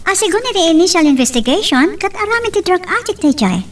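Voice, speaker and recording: A woman's voice: extremely high pitch, lively intonation, fast. A woman acting a confiding female character in an Ilocano radio drama. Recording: distant mic.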